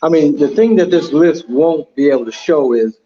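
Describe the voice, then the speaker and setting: A man's voice: a little high, moderate pace, dark tone. A man chatting casually as co-host of an online panel show. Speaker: podcast co-host. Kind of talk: casual chat. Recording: dull lo-fi online call audio.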